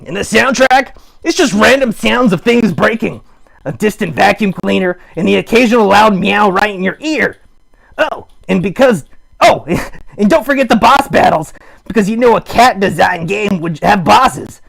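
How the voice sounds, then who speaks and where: Goofy puppet show host, played by a man: high-pitched, very raspy, playful and theatrical, improvised rambling. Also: lively intonation, normal speed, a bit bright, heavily acted. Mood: playfully excited. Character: chatty goofy puppet hosting a live show. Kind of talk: improvised comic rambling to live chat.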